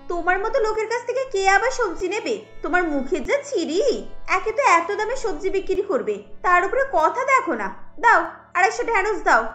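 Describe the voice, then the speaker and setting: A woman's voice: very high-pitched, sing-song, moderate pace, a bit bright, faint music behind, full character voice. A woman voicing a harried, irritable housewife and mother in a cartoon, complaining and scolding. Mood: scornful. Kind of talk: cartoon voice acting.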